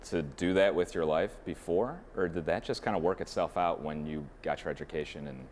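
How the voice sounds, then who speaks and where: News guy with a really deep voice doing narration and questions, faint hiss. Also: a little fast, a little mellow. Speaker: interviewer and reporter.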